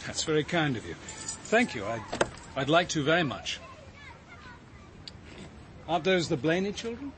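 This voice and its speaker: An actor playing a thoughtful, reserved detective in a radio drama, speaking politely and with understatement in a British accent. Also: male voice, fairly high pitch, very animated, a little slow, pauses often, noisy background. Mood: courteous, reflective. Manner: polite, understated, gently hesitant.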